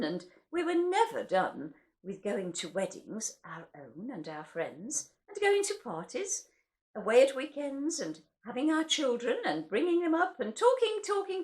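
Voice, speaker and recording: A woman reading poetry aloud in a theatrical, wryly comic way, as the host of a recital. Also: sits high. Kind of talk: expressive poetry recital with casual introductions.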